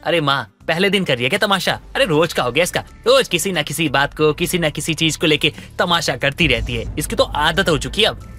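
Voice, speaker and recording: Man as a frustrated young husband, bright forceful voice, complaining, cartoon family drama, music behind. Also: fairly high pitch, expressive, a little fast. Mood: irritated. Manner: pleading, complaining. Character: exasperated, embarrassed young husband. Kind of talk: animated family drama dialogue.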